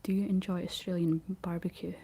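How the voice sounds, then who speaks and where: A Scottish woman whispering a rambling, chatty ASMR talk in a breathy voice, laughing often as she goes. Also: fairly low pitch, level tone, fairly quick, warm tone, slight background noise. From Scotland. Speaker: ASMR creator.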